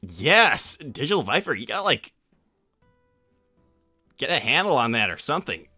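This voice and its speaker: A man voicing a cocky, easily exasperated teenage cartoon hedgehog, chatting off the cuff on a livestream in a casual, halting way that repeats itself. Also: mid-range voice, big pitch swings, sharp tone, breathy edge, no room sound, faint music behind, heavily acted. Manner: casual, halting slacker drawl full of repetition. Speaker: livestream host answering viewer questions in character. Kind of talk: improvised in-character livestream chat.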